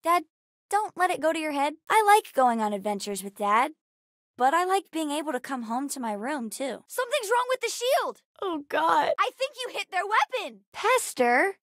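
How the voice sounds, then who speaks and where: A woman voicing a bright, bookish, spirited young girl in a video game, talking in an earnest, chatty, childlike way. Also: sits high, very animated, normal speed, no room sound, clean studio sound. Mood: chatty, eager, then alarmed. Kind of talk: acted video game character dialogue.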